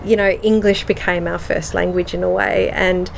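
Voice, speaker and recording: A woman with an Australian accent in a casual interview, speaking with many pauses and ums, moving between English and Slovenian. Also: on the low side, level tone, vocal fry, slight background noise, low-quality recording. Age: adult with children. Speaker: guest.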